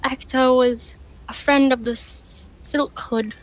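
Woman gamer, very high voice, slow pace, playful, sweary, casual let's play chat. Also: steady tone, low-quality recording. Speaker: gamer, co-player. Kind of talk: casual let's play chat, reading game text aloud.

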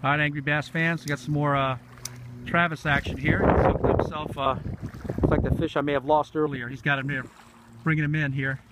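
A man's voice: fairly high pitch, level tone, brisk. A middle-aged man giving casual running fishing commentary, repeating short encouraging phrases. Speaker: fishing vlog host filming. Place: outdoors on a grassy lake shore. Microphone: handheld camera mic. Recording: very noisy windy outdoor camera audio.

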